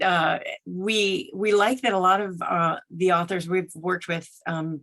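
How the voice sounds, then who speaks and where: A woman with a slightly low voice who speaks very slowly. She answers as an interview guest, reflective and careful in how she puts each phrase together. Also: a bit bright. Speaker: guest audiobook narrator and voice actor.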